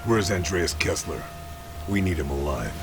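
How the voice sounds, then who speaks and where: An actor playing a wary Allied commando lieutenant in a game cutscene, terse, deadpan and gravelly. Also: male voice, low voice, normal speed, music in the background. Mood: terse suspicion. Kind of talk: video game cutscene acting. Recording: noisy ambience behind the voice.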